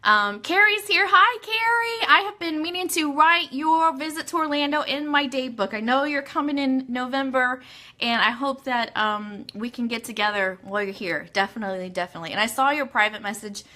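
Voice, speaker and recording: Bubbly lady hosting an online radio show, kinda high bright voice, super chatty and informal. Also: moderate pace. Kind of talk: chatty livestream hosting with news read-outs. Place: Orlando, Florida.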